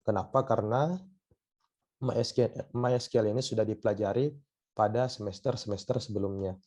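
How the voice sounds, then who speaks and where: A hoarse man with a cough, giving a university lecture online and often pausing on filler sounds. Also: on the low side, dark tone. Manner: hesitant, many filler sounds.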